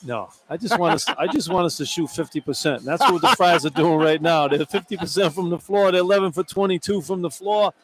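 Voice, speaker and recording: A man's voice: fairly high pitch, a little fast, hardly any pauses. An American man giving informal, conversational basketball analysis as a radio color commentator, sometimes repeating himself. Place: broadcast position inside a large indoor arena. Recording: live arena broadcast with background noise. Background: arena ambience and noise.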